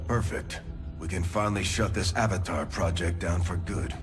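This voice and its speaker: An actor playing a gruff, no-nonsense military second-in-command in a game cutscene, blunt and commanding. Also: male voice, low voice, steady tone, moderate pace, close to an everyday voice. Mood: impatient. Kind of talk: video game cutscene acting. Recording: music behind the voice.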